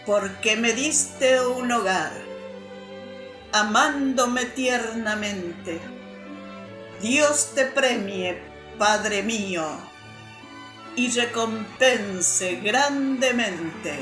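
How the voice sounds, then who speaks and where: A woman reciting a poem in a measured, solemn way. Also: on the high side. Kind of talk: slow poetry recitation over music. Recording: echoey room with violin music behind. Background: violin music.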